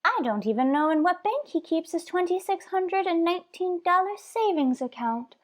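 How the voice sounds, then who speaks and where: A woman's voice: very high-pitched, sing-song, normal speed. A woman playing a coy, lovestruck elderly landlady in an old-time radio sitcom, prim and protesting. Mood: coy denial.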